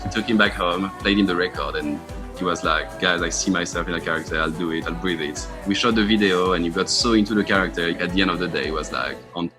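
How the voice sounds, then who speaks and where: A man's voice: sits low, bright, forceful tone. A man talking as a musician in a casual interview, telling stories with enthusiasm. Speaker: musician in a band, interview guest.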